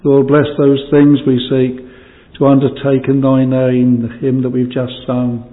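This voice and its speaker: A man leading a solemn extempore prayer in old-fashioned language, pleading, his voice falling away quietly at the ends of phrases. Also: mid-range voice, completely flat delivery, unhurried. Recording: distant hall reverb, phone-like band.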